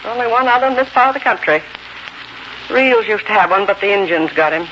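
Actress for chatty rustic neighbour wife, old radio play: motherly, plain-spoken, sing-song animated and forceful, dull archival sound. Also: medium pitch, a little fast, close to an everyday voice. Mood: neighbourly, admiring. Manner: folksy, gossipy, rustic phrasing. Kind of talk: 1940s radio character acting. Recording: muffled 1940s radio recording, faint noise.

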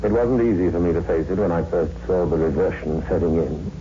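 A deep-voiced, mellow man played by an actor guarding a dark secret in a vintage radio horror play. He speaks formally and ominously, with measured gravity, on a dull, hissy old recording. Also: lightly acted. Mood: ominous, explanatory.

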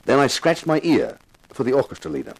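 Man acting intense, theatrical TV detective, lively pitch. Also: loud music behind the voice, close to an everyday voice, rough recording. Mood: tense, confiding. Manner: theatrical, deliberate, insistent. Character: intense television-detective actor, grave narrator turned relentless questioner. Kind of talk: 1950s radio drama acting, first-person crime narration.

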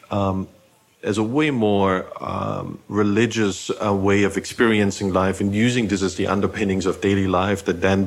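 Host guy, lowish voice, lots of um and uh, meandering. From Eastern Germany. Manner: rambling, many fillers and repeats. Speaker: podcast host. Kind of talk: casual interview questions.

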